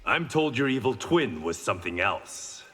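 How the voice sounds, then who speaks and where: A man's voice: medium pitch, sing-song, moderate pace, fairly bright tone, slightly rough, in a large hall, soft background music. An actor playing a stoic blind swordsman hero in a video game, with dry, confident wit. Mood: wry.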